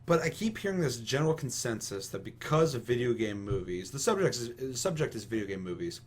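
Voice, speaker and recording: Young american guy hosting a podcast, slightly rough voice, casual rant style, swears a lot, really emphatic. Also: mid-range voice, very animated, brisk. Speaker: podcast host. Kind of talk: casual opinionated discussion.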